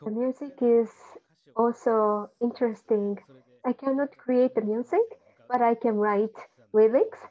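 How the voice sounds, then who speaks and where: A woman doing simultaneous interpretation on an online call, talking haltingly with frequent fillers and restarts. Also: on the high side, steady tone. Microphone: video call. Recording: muffled video-call audio.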